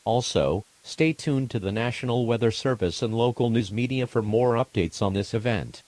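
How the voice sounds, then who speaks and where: A man reading a scripted weather emergency warning, formal, measured and impersonal. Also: mid-range voice, a little mellow, dry recording.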